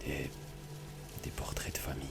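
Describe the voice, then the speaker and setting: An actor playing a haunted, hesitant man in a psychological horror audio drama, speaking in hushed, halting phrases that restart on their first words. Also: natural voice. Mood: uneasy, hushed. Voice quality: very deep, breathy whispering. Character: haunted, hesitant man recounting exploring an eerie old house. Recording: dramatic music bed with rain. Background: music and rain.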